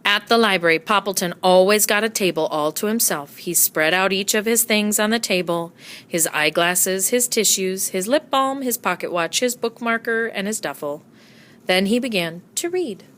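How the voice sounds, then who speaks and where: A woman narrating a children's storybook aloud with expressive storytelling delivery. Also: mid-range voice, normal speed. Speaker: storybook reader.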